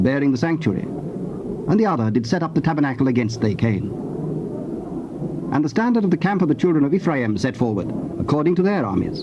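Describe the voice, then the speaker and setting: Male scripture narrator, noisy tape. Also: mid-range voice. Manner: measured, formal. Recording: dull noisy tape transfer.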